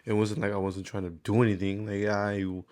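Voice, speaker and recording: A man's voice: fairly low pitch, little pitch movement, a little fast, darkish tone. A man, speaking candidly in a rambling, slangy, sweary way, as in a casual confessional video diary. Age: 20s. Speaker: vlogger.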